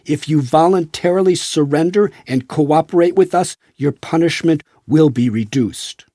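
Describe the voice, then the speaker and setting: A man voicing a stern, threatening federal narcotics agent in a forceful, official manner for an audiobook. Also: on the high side, moderate pace, dry recording, studio quality, close to an everyday voice.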